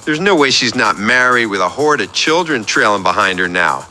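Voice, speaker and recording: A man's voice: on the low side, animated, moderate pace, bright, forceful tone. An actor playing a lonely, self-pitying man who calls a radio show to confess his troubles in a rambling way. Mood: wistful. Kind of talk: radio play confessional phone-in. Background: music under the voice.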